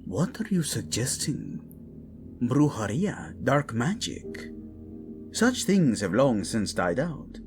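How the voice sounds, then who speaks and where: A man's voice: medium pitch, very animated, slow pace, over music. A man playing a proud, brooding young prince in an audio drama, curt and sardonic, with a Spanish accent. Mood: troubled, insistent. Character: brooding, proud young prince, defensive about his dead brother.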